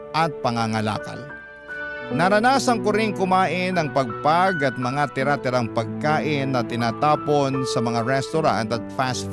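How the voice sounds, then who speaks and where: A male host narrating a radio-style drama in first person, steady and solemn. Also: mid-range voice. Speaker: storyteller host. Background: loud music.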